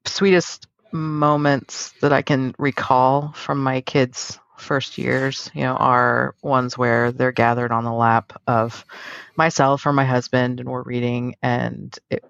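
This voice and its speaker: Middle-aged woman, podcast host, gravelly fry, dry slightly dull recording, reflective chat. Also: very deep voice, flat delivery, takes it slowly, no room sound. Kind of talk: casual reflective podcast conversation.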